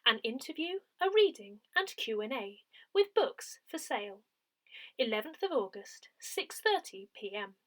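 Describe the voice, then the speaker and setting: A woman reading a scripted parish newsletter aloud in a deliberate, clearly phrased announcing manner. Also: on the high side, very animated, fairly bright tone. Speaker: newsletter reader.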